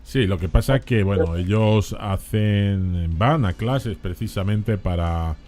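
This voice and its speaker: A man hosting a radio interview, speaking in a relaxed way with fillers. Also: on the low side, takes it slowly. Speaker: radio host.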